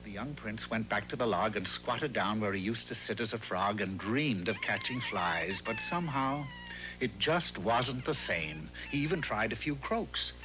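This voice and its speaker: A man's voice: lowish voice, huge pitch swings, normal speed, music in the background, rough recording. A man narrating a scripted fairy tale for a cartoon as a storyteller.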